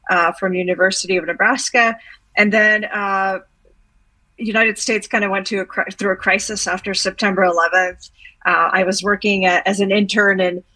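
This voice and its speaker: A woman's voice: medium pitch, pushed and bright, rough recording. A woman, answering interview questions reflectively, with many fillers and restarts. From Bosnia. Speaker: guest.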